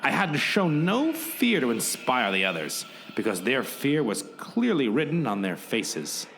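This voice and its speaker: A man's voice: medium pitch, big pitch swings, measured pace, gravelly, a bit bright, faint music behind. A man narrating a mock-epic comic radio play in a theatrical, melodramatic way. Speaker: podcast host and narrator.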